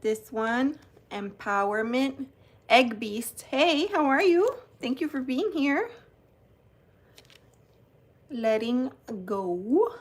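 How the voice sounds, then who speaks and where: Woman, from New Jersey, slow unhurried pace, chatty live-stream host. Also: high voice, lively intonation. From New Jersey, United States. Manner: chatty, greets viewers by name while showing cards. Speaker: tarot channel host. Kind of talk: live-stream unboxing chat.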